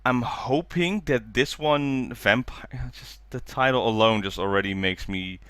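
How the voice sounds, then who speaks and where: A man chatting casually as a podcast co-host, telling things in a halting, joking way. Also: medium pitch, fairly bright tone, clear, resonant tone, no echo. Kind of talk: casual chat.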